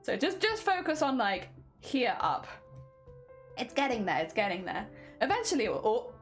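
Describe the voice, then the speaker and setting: A woman's voice: a little high, sing-song, normal speed, faint music behind. A young woman chatting casually on a craft stream while sewing, rambling with lots of fillers and a slight winter cough.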